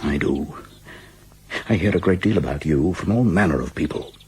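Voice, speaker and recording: An actor playing a gruff but courteous older Prussian field marshal in a radio drama, clipped and formal. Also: male voice, deep voice, normal speed, a little mellow. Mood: frank, weary.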